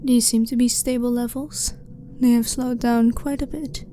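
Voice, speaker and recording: A woman playing a blunt, clinical but harmless alien scientist in an ASMR sci-fi roleplay, speaking in a formal, measured, matter-of-fact way. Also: fairly high pitch, flat delivery, mellow, lightly acted. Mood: calm, polite. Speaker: ASMR voice performer. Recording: clearly noisy ambient background.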